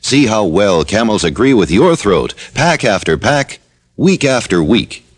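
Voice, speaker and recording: A man reading a 1950s radio cigarette commercial in an earnest sales pitch. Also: sing-song, measured pace, over loud music. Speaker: commercial announcer.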